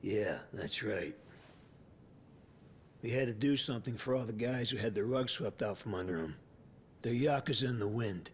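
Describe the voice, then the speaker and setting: An actor, a man, playing a responsible, steady yakuza organiser in a video game cutscene. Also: moderate pace, pauses often, natural voice. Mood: solemn. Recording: rumbling noise behind the voice.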